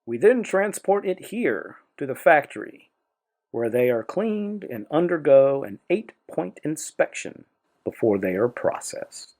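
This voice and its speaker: A man voicing a proud corporate tour guide in an audio play, speaking in a rehearsed, formal sales pitch. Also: very animated, unhurried, darkish tone, heavily acted. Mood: proud, salesy. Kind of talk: scripted audio play acting.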